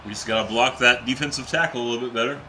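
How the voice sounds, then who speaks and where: A young adult man, a teacher by day, giving casual, excitable live-stream commentary while playing a football video game and chatting with viewers. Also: medium pitch, rapid-fire, a little hiss or hum. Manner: excitable, chatty, reacts to plays and reads chat aloud.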